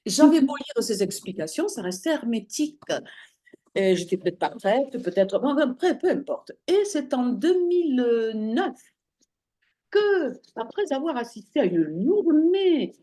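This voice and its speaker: A woman as an interview guest, telling her story conversationally, lively and often pausing with fillers as she searches for words. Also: high-pitched. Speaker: interview guest, holistic practitioner.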